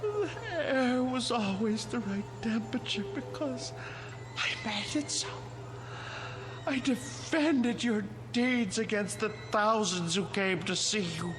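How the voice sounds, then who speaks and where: An actor playing a devoted, lonely museum caretaker, meek but fervent, in a vintage television drama with emotional, deferential delivery. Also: male voice, high voice, slow pace, music in the background. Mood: anguished, accusing.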